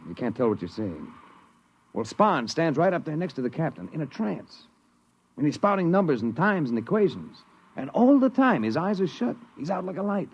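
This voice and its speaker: An American man playing a cynical, slangy spaceship crewman with a rough voice in 1950s radio style. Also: mid-range voice. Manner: slangy storytelling. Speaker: actor in a radio drama. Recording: muffled vintage radio recording.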